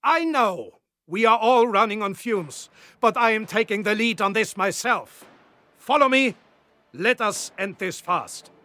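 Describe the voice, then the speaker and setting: A man's voice: high voice, lively intonation, a little slow, pushed and bright, no room sound, heavily acted. An actor playing a resolute, commanding German tank officer rallying his troops in a war game cutscene. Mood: rousing, determined. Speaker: military commander. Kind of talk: dramatic game cutscene speech.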